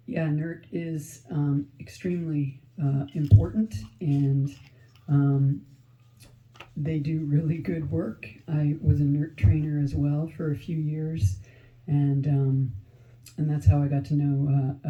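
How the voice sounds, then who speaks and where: A man speaks slowly in a very dark, flat voice, stopping often on fillers and restarting his phrases. He is a fire chief giving remarks at a commission meeting. The sound is dull and lo-fi. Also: a little high. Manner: halting, many fillers and restarts.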